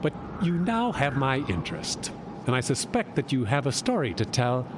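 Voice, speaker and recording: An actor playing an amused, urbane Greek god posing as a stranger in an audio drama, drawing out words in a coy, theatrical way. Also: male voice, sing-song, lightly acted. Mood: curious, urbane. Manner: theatrical, drawn-out, coy delivery. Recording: loud outdoor ambience and rumble.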